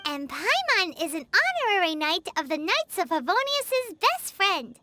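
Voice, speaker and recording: A woman's voice: very high voice, very animated, a little slow, noisy background, full character voice. A woman voicing a tiny, childlike, eager floating companion in a video game. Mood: matter-of-fact, chirpy. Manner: speaks of herself in the third person. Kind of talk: animated game character acting. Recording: game dub over clear background music.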